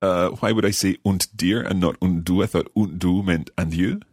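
Deep husky man teaching. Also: fairly even intonation, darkish tone, dry recording, clean studio sound. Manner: clear, patient, teacherly, repeats phrases slowly. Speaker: language course host. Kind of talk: scripted podcast lesson chat.

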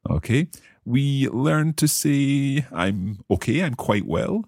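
A Scottish man hosting a language podcast, speaking in an upbeat, careful way and practising phrases slowly. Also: mid-range voice, lively intonation, a little mellow, no room sound, studio quality. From Scotland. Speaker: language course host. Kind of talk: scripted podcast lesson.

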